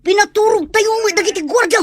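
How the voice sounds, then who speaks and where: Excitable bandit accomplice, high-pitched, frantic shouts, radio drama style. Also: female voice, fast, no room sound, studio quality.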